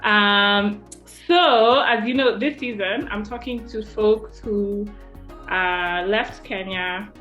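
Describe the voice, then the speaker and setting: Warm-toned woman hosting in an echoey room. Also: mid-range voice, slow pace, lo-fi sound, soft background music. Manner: warm and encouraging, with many short affirmations. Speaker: podcast host. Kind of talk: interview hosting.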